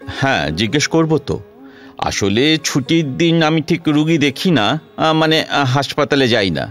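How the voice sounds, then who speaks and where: A man's voice: on the high side, lively intonation, a little slow, fairly bright tone, soft background music. A man playing a polite, patient visiting doctor in an audio drama, courteous and a little hesitant. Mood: patient, mild. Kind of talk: Bengali audio drama acting.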